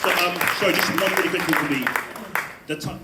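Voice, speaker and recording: A jokey man moderates a live debate over a loud crowd and heavy background noise. His slightly high voice echoes around the hall as he teases the audience and hurries the next speaker up. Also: fairly even intonation. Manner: jokey, apologetic, brisk.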